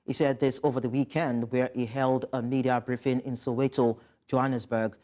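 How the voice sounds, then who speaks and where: Measured television news anchor. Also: medium pitch, flat delivery, a little mellow. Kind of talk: formal news reading and live interview.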